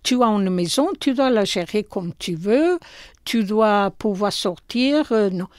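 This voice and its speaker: An older woman from Portugal with a Portuguese accent, interviewed in her small shop, speaking slowly and hesitantly with many fillers. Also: medium pitch, lively intonation, dry recording, clean studio sound. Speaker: shopkeeper.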